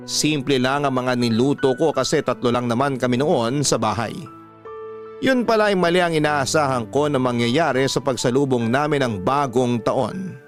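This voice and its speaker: A man hosting a radio story show, reading a listener's letter aloud in a calm, measured way and closing with a slow, drawn-out sign-off. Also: mid-range voice. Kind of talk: scripted radio storytelling narration.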